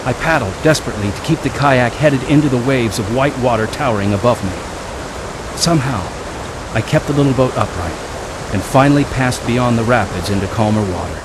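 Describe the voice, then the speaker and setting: A man's voice: mid-range voice, normal speed, background noise, natural voice. An actor narrating and playing a young man looking back on his wild youth in a radio drama.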